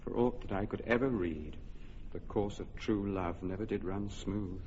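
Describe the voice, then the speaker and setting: A man's voice: lowish voice, normal speed, a little mellow, close to an everyday voice. An actor playing an earnest young nobleman in love, speaking verse tenderly in an old radio drama. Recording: dull lo-fi radio recording, faint hiss.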